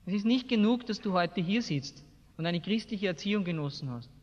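Male preacher, Austrian accent, high voice, blunt emphatic delivery, colloquial sermon, long hall reverb. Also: lively intonation, a little fast, muffled recording. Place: large hall, preaching to a seated congregation.

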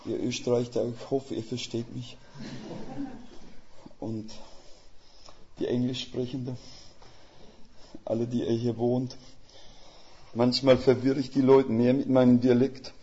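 An older man preaching as a guest speaker in a folksy, plain-spoken way, with a Swiss German dialect and a gravelly voice. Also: medium pitch, level tone, slow, long pauses, mellow, slight background noise. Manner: folksy, plain-spoken, with homely images and rhetorical questions. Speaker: missionary. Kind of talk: sermon. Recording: slightly dull lo-fi sound.

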